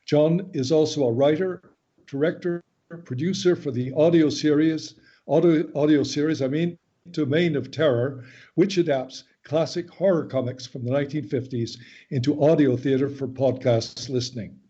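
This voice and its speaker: A man's voice: a little high, normal speed, warm tone, slightly rough, highs cut off. A man hosting a radio talk show, reading a formal introduction of his guest and then asking questions. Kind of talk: hosted radio interview.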